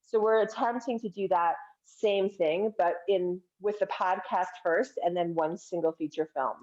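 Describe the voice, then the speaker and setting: A woman's voice: lowish voice, moderate pace, a little mellow, lo-fi sound. A woman guest, a filmmaker, explaining her project in an earnest, casual way with some hesitations. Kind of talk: casual interview chat.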